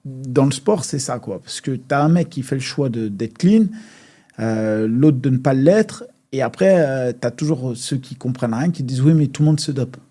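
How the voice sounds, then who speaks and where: Emphatic man with a soft, husky voice. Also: medium pitch, moderate pace. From France. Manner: animated, emphatic, many restarts and fillers. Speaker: MMA fighter guest. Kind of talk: casual podcast discussion.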